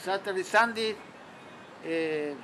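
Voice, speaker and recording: A man with an Argentine Spanish accent, a clown and accordionist, answering interview questions haltingly and slipping into broken English. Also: high-pitched, sharp tone, a little hiss or hum. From Entre Ríos province, Argentina. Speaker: street clown and accordion player being interviewed. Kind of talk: casual spoken interview.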